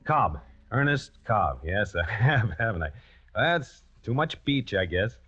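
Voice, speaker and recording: A man's voice: fairly low pitch, big pitch swings, bright, forceful tone, breathy edge, exaggerated voice. An actor in a 1940s radio suspense drama playing a nervous, desperate man who is driven toward crime by love. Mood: nervous, hesitant. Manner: hesitant, stammering, polite in dialogue; tense, measured narrating. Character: nervous, desperate lovestruck male pollster turned would-be killer. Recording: dull old radio recording with music.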